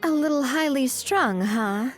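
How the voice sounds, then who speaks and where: An actress voicing a friendly, easygoing young woman in a video game, speaking in a chatty, expressive, reassuring manner. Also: high-pitched, unhurried. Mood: pondering. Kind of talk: English video game voice acting. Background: game music.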